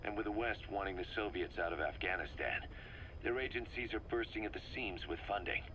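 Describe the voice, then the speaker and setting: A deep, hard-bitten mercenary commander. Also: male voice, fairly quick, pushed and bright, slight background noise, poor audio. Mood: resolute. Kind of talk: dramatic video game acting, expository briefing.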